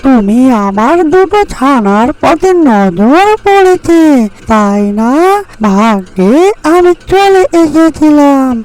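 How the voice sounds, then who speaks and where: A woman voicing a watchful, protective mother duck in a fairy-tale cartoon, speaking in a deliberate, cautioning way. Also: high-pitched, big pitch swings, darkish tone, full character voice, low-quality recording. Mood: suspicious, scolding.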